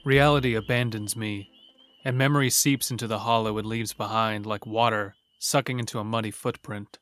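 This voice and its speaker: A male actor's fearful, wry logger narrates a horror audio drama in the first person. His voice sits low, with quiet music underneath, and he tells it like a confession. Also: fairly even intonation, normal speed, close to an everyday voice. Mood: tense. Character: hunted logger, wry, fearful, self-blaming man. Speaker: narrator and protagonist.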